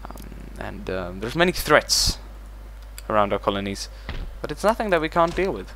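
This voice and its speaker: A man's voice: lowish voice, very animated, moderate pace, a bit bright, slight background noise. Man thinking aloud over a strategy game. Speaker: gaming channel host. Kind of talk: let's play commentary.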